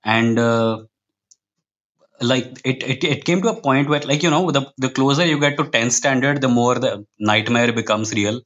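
An Indian-accented man talks casually as a podcast guest. His manner is wry and opinionated, wandering into tangents and then making his points with emphasis. His voice sits at an ordinary male pitch. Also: normal speed, fairly bright tone, lo-fi sound. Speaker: podcast guest, comic book writer.

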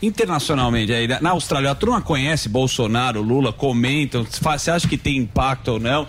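A man's voice: mid-range voice, animated, fairly quick, fairly bright tone, a little hiss or hum. A man hosting a casual political panel who asks a guest questions and passes the turn to others. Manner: conversational, hands off to others.

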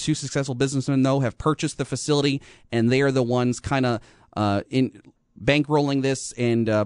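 An American man hosting a motorsport radio show, talking informally and haltingly, often rephrasing mid-sentence. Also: mid-range voice, clear, resonant tone, no room sound, studio quality. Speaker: radio host. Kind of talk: motorsport talk radio monologue.

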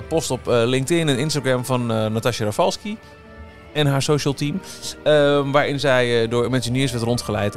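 A man hosting a podcast, chatting casually with co-hosts about theme park news. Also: mid-range voice. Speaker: podcast host.

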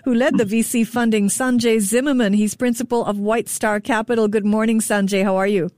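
A woman's voice: fairly high pitch, fairly even intonation, a little fast, a little mellow, dry recording. A woman hosting a business interview on the radio, presenting and questioning her guests.

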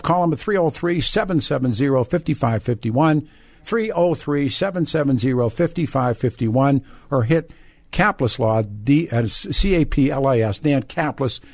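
An American man hosting a call-in radio show, who talks discursively, halting and repeating words as he thinks aloud. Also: fairly high pitch, no room sound. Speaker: radio talk show host. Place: radio studio. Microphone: close studio microphone.

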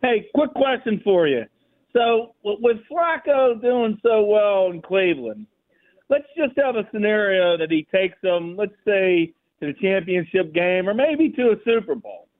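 Thin phone audio, American man, high voice, sports radio caller, casual chat. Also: expressive, measured pace. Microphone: telephone. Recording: narrow tinny phone line.

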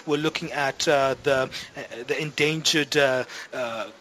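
A man hosting a radio interview show, rambling with many pauses and fillers. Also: medium pitch, fairly even intonation, pushed and bright, a little hiss or hum. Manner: rambling, with many ums and uhs. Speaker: radio talk show host. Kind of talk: conversational broadcast interview.

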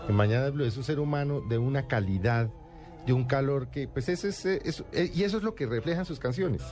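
A man's voice: medium pitch, brisk, darkish tone. A man hosting a radio talk show, chatty and digressive, often jumping in with questions. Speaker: radio host. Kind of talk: radio interview conversation.

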